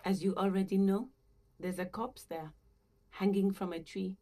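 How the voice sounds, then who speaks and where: A woman's voice: medium pitch, steady tone, moderate pace, warm tone, lightly acted. An actress voicing a reserved, politely hesitant gardener woman in a video game, speaking in an understated way. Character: reserved working-class female gardener, uneasy, politely hesitant. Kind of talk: video game dialogue acting.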